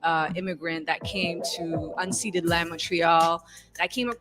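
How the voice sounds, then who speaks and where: A woman who grew up in East Africa and whose first language is not English, telling her story in an animated way and repeating points for emphasis in a casual podcast interview. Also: lowish voice, measured pace, over music. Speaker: community worker guest.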